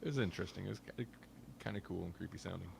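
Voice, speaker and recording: A man co-hosting a podcast, chatting casually and retelling things in an animated way. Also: deep voice, rapid-fire, gravelly, slightly rough. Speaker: podcast co-host. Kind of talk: casual chat review.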